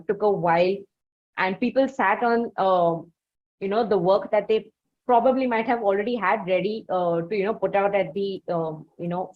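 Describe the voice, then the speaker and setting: Female interview host, formal and wordy phrasing, slightly muffled low-fidelity audio. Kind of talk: conversational interview.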